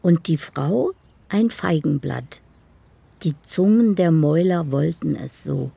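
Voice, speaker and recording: An actress playing a dreamy older woman who speaks poetic letters and memories in a measured radio drama monologue. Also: sits low, expressive, gravelly, warm tone, husky, dry recording, exaggerated voice. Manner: slow, poetic, measured delivery.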